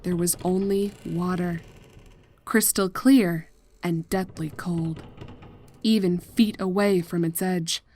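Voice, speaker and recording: Slow, measured woman narrating horror fiction. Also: fairly low pitch, darkish tone. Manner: measured, deliberate. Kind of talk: scripted dramatic horror story narration.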